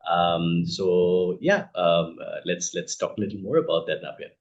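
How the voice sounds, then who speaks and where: A man from northeast India with an Indian accent, giving reflective, thoughtful interview answers as an author, measured and pausing mid-sentence. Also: low-pitched. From Assam, northeast India. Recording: echoey room, dull muffled sound.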